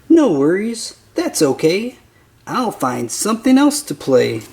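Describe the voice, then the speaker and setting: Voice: medium pitch, sing-song, unhurried, vocal fry, darkish tone. A storybook reader voicing a cheerful, easygoing young kitten in an upbeat singsong way.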